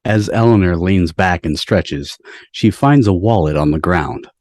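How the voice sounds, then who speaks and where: A man's voice: deep voice, unhurried, a little mellow, dry recording, clean studio sound. A man on a video call reading stage directions as narrator of an amateur table read.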